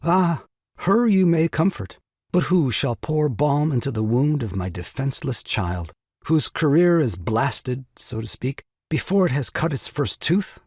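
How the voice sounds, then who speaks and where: A self-pitying young husband in an audio farce. His husky voice leaps about with sarcasm and mock tragedy, recorded very dry. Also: medium pitch, very animated, a little slow, a little mellow, dry recording, full character voice. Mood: mock-tragic. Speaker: voice actor. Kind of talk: stage farce audio drama acting.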